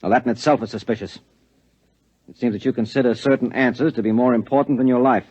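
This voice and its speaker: An actor playing a secretive, suspicious husband in a 1950s American radio drama, speaking in a curt, controlled, threatening way. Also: male voice, mid-range voice, steady tone, quick pace, a little mellow, husky, close to an everyday voice. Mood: cold menace. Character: menacing, secretive husband interrogating with cold suspicion. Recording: muffled vintage radio recording.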